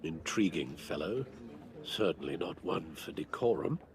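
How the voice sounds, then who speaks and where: Dry, creaky, proper manservant. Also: male voice, rumbling low, animated, a little slow, exaggerated voice. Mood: dryly disapproving. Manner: understated, formal. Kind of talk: video game voice acting. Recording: busy crowd behind voice.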